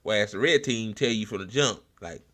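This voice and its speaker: American man, lowish pitch, bright forceful tone, casual talk show host, blunt, slangy, profane, halting and repetitive. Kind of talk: casual unscripted political commentary.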